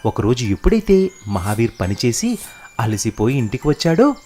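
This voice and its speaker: An animated storyteller narrates moral tales for children in a scripted storybook style. The voice moves up and down in pitch, with faint music underneath. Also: normal speed.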